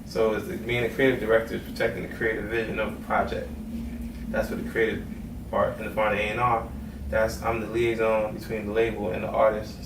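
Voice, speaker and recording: A man from Trinidad and Tobago, raised in Brooklyn, a music executive talking casually in an interview with a laid-back manner. He is recorded from a distant mic in an echoey room with noise. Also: on the low side. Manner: laid-back, conversational, many "you know what I mean".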